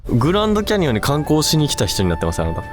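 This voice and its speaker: Lively man hosting on location, faint music. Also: on the high side, rapid-fire. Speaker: host of a ghost-spot exploration channel. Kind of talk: casual joking on-location presenting. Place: outdoors at night by a seaside lighthouse.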